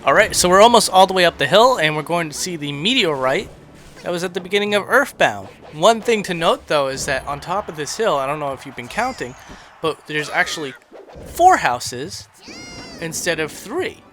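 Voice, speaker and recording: A man's voice: on the high side, sing-song, normal speed, fairly bright tone. A man hosting a casual, rambling game tour commentary in a chatty, self-deprecating way. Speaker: video host. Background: background music.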